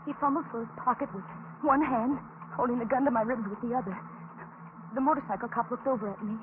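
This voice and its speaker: An actress playing a frightened young waitress held hostage, with anxious, halting delivery in 1940s radio suspense style. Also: female voice, a little high, fairly even intonation, lightly acted. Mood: tense narration. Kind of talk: 1940s radio suspense acting, dramatic narration. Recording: tinny, noisy 1940s radio recording.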